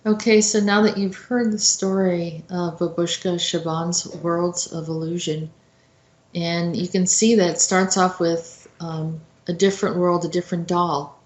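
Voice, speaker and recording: A woman giving an informal explanatory talk as a host, in a halting, reflective manner. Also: fairly low pitch, flat delivery, darkish tone. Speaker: host commentator. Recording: roomy, echoey sound.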